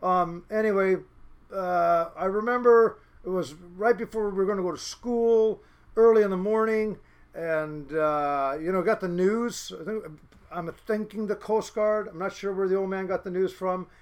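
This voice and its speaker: A man's voice: high-pitched, steady tone, normal speed, clear, resonant tone. A middle-aged man from the Seattle area whose first language was Norwegian, reminiscing casually with many fillers and restarts. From Seattle area, USA. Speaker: fisherman's son recalling childhood.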